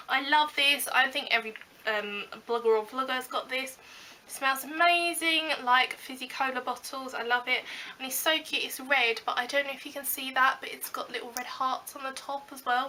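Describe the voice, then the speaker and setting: A young British woman with a slightly croaky voice from a cold, chatting casually through a beauty haul with lots of ums and likes. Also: a little high, pushed and bright, slight background noise, poor audio. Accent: British English. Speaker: beauty vlogger. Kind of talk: casual haul video chat.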